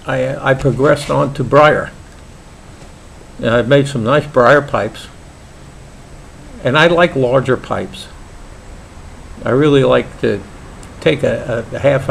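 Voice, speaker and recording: An older man with a Brooklyn accent, talking casually and haltingly about his hobby in a home vlog, with many pauses and restarts. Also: medium pitch, vocal fry, slight background noise, poor audio. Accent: New York (Brooklyn). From Brooklyn, New York. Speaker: pipe maker vlogger.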